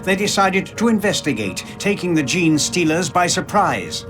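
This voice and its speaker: Music plays behind a grave, knowing chronicler of a far-future war. His voice sits slightly high for a man, and he delivers the scripted game narration in a measured, portentous way. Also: big pitch swings.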